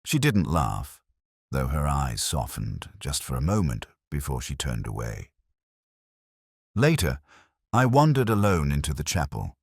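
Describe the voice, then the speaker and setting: A man performing a weary detective narrating a literary radio drama. The voice is extremely low and rises and falls expressively, with a solemn, reflective delivery. The recording is close and dry. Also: big pitch swings, a little slow, breathy edge, dry recording, heavily acted. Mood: wry, resigned.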